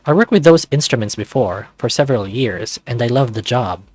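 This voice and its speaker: A man's voice: medium pitch, expressive, brisk, dry recording, soft background music, natural voice. A man voicing a blunt, laid-back young male newcomer in a visual novel. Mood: self-assured.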